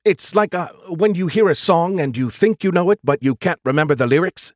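A man voicing an excitable, arrogant artificial intelligence in an audiobook, flippant and quick to insult. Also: on the high side, dry recording, clean studio sound, pitched above the performer's own voice, lightly acted. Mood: baffled, snarky.

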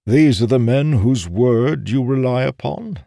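A man playing a stubborn, self-assured male warrior in a fantasy audiobook, speaking in a grave, formal, measured way. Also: mid-range voice, expressive, creaky, warm tone, no room sound, clean studio sound, pitched above the performer's own voice.

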